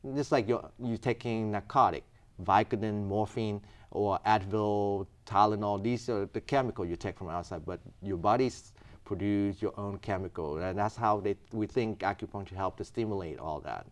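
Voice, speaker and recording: Low-voiced man from Vietnam, explaining earnestly. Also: moderate pace. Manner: earnest, explaining step by step, non-native grammar. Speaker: physician guest. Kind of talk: explanatory interview answers.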